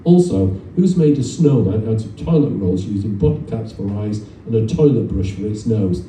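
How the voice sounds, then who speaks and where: Man doing a stern schoolteacher, mellow, echoey. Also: on the low side, lively intonation, moderate pace, natural voice. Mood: indignant. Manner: barking, pompous scolding. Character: indignant strict male schoolteacher in a children's story. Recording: roomy distant event recording, faint noise.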